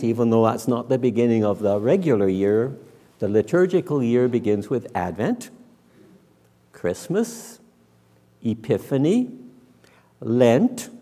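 Slow-paced older male preacher in a reverberant church. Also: mid-range voice, darkish tone. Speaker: minister preaching. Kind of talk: conversational sermon. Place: large church sanctuary, indoors.

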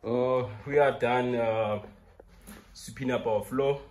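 A man's voice: medium pitch, steady tone, slow pace, a little mellow, a little hiss or hum. A man in his thirties with a South African accent, a poultry farmer talking straight to viewers in a chatty, joking way as he films himself at work, now and then out of breath from the labour. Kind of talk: casual vlog narration. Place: inside a car and a farm shed. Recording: music playing clearly behind.

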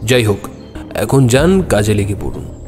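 Man acting a brisk, authoritative amateur detective. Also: on the low side, sing-song, darkish tone. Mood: brisk, commanding. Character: astute, self-assured male teacher and amateur detective. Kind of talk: audio drama dialogue. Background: music.

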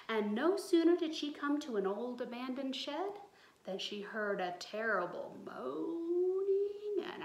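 A woman in her thirties reading a picture book aloud to children with dramatic, drawn-out emphasis. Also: high voice. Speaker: children's storyteller. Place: small bright room indoors. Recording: roomy indoor echo.

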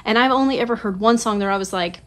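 A woman's voice: fairly high pitch, fast talker, a bit bright. Chatty woman in her forties, self-mocking. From Southern California. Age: 40s. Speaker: songwriter vlogger. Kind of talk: casual talk to camera. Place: small colorful home studio room. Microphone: phone camera at desk distance.

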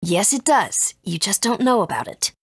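A woman's voice: mid-range voice, sing-song, normal speed, husky, no room sound. A woman playing a composed, authoritative guide in a video game, serious and explanatory. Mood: decisive. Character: composed, authoritative woman guiding young heroes.

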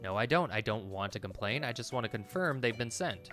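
A man's voice: medium pitch, expressive, fairly quick, music in the background, natural voice. A man voicing an anxious, insistent woman from a video game, fretful in manner. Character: anxious, insistent woman fretting over a late delivery. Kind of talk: read-aloud video game dialogue.

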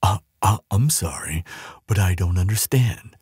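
A man playing a gentle, affectionate giant in an intimate ASMR audio roleplay, soothing and earnest, murmuring tenderly with soft hums and sighs. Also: low-pitched, a little slow, breathy edge, no echo, studio quality, heavily acted. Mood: reassuring.

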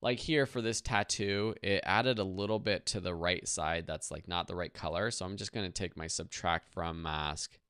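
A man in his thirties giving a casual, easygoing step-by-step software tutorial in a conversational way. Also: sits low, normal speed, hardly any pauses, clean tone, no room sound, studio quality.

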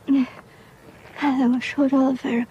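A woman's voice: high voice, level tone, normal speed, warm tone, lo-fi sound, slight background noise, close to an everyday voice. An actress playing an injured young woman in a TV romance drama, with a coaxing, hesitant manner. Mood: sheepish, pleading. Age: young adult.

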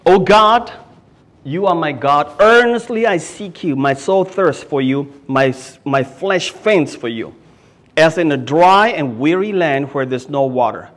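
Animated middle-aged man preaching in church. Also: a little high, unhurried. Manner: animated preaching, swings from loud cries to hushed prayer, raises arms. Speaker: pastor. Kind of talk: sermon. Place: small church hall, indoors. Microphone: stand mic at a lectern.

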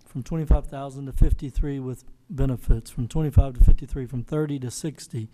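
A man chairing a public council meeting, his voice sharp and tense with a steady middle pitch. He questions staff deliberately, line by line. He speaks into a desk microphone in a large chamber. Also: low-quality recording.

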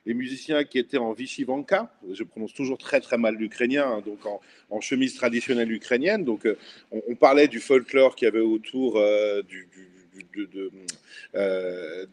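A man's voice: mid-range voice, moderate pace, a little hiss or hum. A middle-aged man speaking as a guest on a video call, thoughtful and enthusiastic, with frequent fillers. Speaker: guest, former local culture official. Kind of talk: casual video call discussion. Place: indoor room at home. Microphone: laptop webcam video call.

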